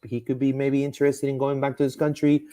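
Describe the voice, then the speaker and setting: A man hosts a live soccer talk stream in an easygoing, rambling way, restarting sentences and leaning on fillers. His voice sits at an ordinary middle pitch. The sound is dry and close, with no room around it. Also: flat delivery, fast, mellow, no echo. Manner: rambling, with frequent fillers and repeated words. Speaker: podcast host. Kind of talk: casual live-stream sports chat.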